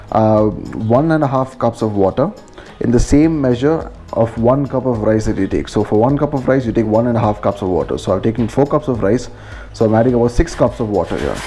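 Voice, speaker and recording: A man's voice: mid-range voice, warm tone. A man with an Indian accent giving a casual, informal step-by-step cooking narration as a home vlogger in a kitchen.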